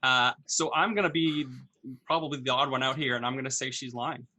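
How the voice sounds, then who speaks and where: A man's voice: medium pitch, a little fast, bright, forceful tone. A man talking casually as a panelist on a game. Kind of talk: casual chat.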